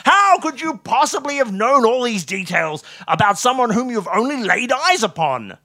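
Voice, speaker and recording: A man voicing an excitable, gushing dormouse doctor sidekick, loud and breathless with awe in a comic story. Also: extremely high pitch, moderate pace, pitched above the performer's own voice, heavily acted. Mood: amazed, gushing. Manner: loud, breathlessly over-awed exclamations.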